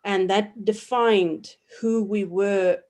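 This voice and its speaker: A woman in her forties from South Africa speaks as an interview guest, working through her ideas in a careful, reflective way. She pauses often on ums and restarts her sentences as she thinks. Her voice sits at an ordinary middle pitch. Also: fairly even intonation, darkish tone. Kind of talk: thoughtful academic interview answers.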